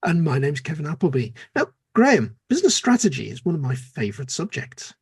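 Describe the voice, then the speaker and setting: A man's voice: a little high, huge pitch swings, a little fast, breathy edge, dry recording, studio quality. A man co-hosting a podcast, who introduces the show in an upbeat way and teases his co-host. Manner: upbeat, teasing.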